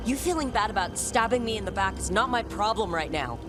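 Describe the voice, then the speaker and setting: An actress playing a tough, bitter heroine with a blunt, firm delivery in a video game cutscene. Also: female voice, mid-range voice, expressive, fairly quick, lightly acted. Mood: bitter, angry. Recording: music behind the voice. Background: cinematic music.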